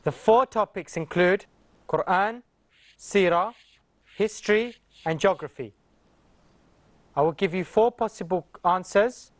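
A man's voice: high-pitched, measured pace, long pauses. A man in his thirties hosting a TV quiz show in a studio, friendly and upbeat, on a lapel mic.

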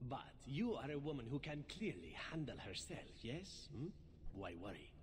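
An actor playing a flirtatious, unflappable elf assassin in a video game, teasing and theatrical. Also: male voice, mid-range voice, sing-song, measured pace, noisy background. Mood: sly, playful. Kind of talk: video game character acting.

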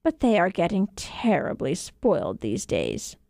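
A woman voicing a scolding but soft-hearted old peasant grandmother, grumbling and emphatic, in a storybook reading. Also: fairly low pitch, wildly swooping pitch, a little slow, gravelly, no echo, studio quality, voice pushed down, full character voice.

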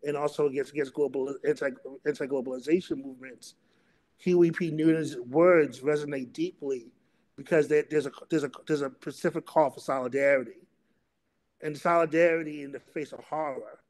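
A middle-aged man moderating a panel and introducing its topic and speakers, in a halting delivery that often repeats words. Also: fairly high pitch, darkish tone. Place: remote video call.

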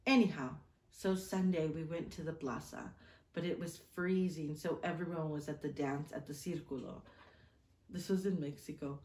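Woman, late 30s, lowish voice, expressive casual read-aloud, roomy distant mic. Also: moderate pace. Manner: expressive, giggly, self-interrupting. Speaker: vlogger mom reading her old journal. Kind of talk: reading a diary aloud with casual asides. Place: small indoor room, seated at a table.

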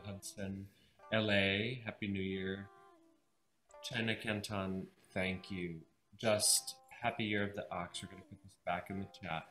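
Bright-voiced effusive male host. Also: fairly low pitch. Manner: effusive, chatty, rambling. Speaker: event host. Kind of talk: enthusiastic live event hosting.

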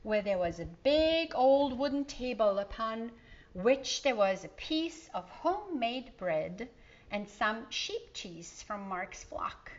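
Storyteller, woman, middle-aged. Slow, emphatic children's reading, slightly dull room recording. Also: medium pitch. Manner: slow, emphatic, explanatory asides to young listeners. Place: indoor living room.

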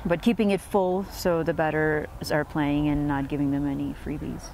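A woman's voice: deep voice, flat delivery, moderate pace, a little mellow, poor audio. A woman in her thirties, a player giving post-match interview answers outdoors into a lapel mic. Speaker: cricket player. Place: outdoor cricket field. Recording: faint outdoor ground noise.

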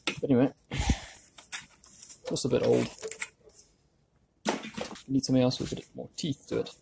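A man's voice: mid-range voice, flat delivery, unhurried, vocal fry. A man giving casual hands-on workshop commentary, informally thinking aloud as he works. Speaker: DIY video presenter. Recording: background noise, clicks and handling sounds.

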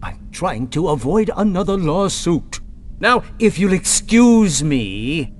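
A man's voice: on the high side, big pitch swings, takes it slowly, slight background noise. A man playing a curt, disdainful vampire courtier in a video game, condescending and mock-polite. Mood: curt, irritated.

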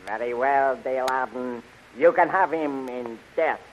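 An actor playing a cruel, commanding tyrant emperor in a 1930s radio serial, with pompous, formal delivery. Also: male voice, mid-range voice, a little slow, natural voice. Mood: gloating, commanding. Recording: muffled, hissy 1930s radio recording.

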